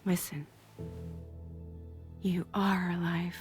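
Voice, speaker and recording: A woman playing a calm, philosophical mentor in a cinematic video game, speaking gently and at times whispery. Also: on the low side, extremely slow. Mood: tender, reflective. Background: music.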